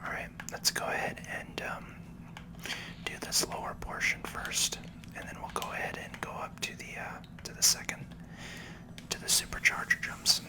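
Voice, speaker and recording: A person whispering soft, unhurried ASMR commentary while narrating a video game playthrough step by step.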